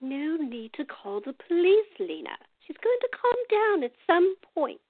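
Radio drama: cantankerous but fair old woman played by an actress, high and expressive, principled and unbending. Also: a little slow, dry recording, phone-quality sound, exaggerated voice. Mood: weary. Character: stubborn, fair-minded old woman, cantankerous yet composed. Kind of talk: radio theater acting.

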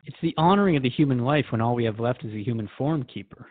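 A man's voice: medium pitch, expressive, fast, dry recording, phone-quality sound, close to an everyday voice. A man playing an earnest, thoughtful radio host who interviews in a reflective, conversational and sometimes hesitant way. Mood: earnest, troubled.

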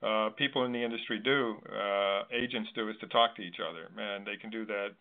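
A man hosting a conversational interview, speaking in a measured, agreeable way. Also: lowish voice, steady tone. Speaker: discussion host. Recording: narrow tinny phone-like line.

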